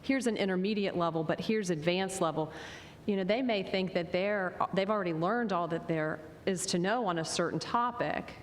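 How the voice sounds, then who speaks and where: A woman on a conference panel explaining her school's professional development plans in an earnest way. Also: moderate pace. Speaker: school technology administrator. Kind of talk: panel discussion answer. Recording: reverberant conference hall, faint rumble.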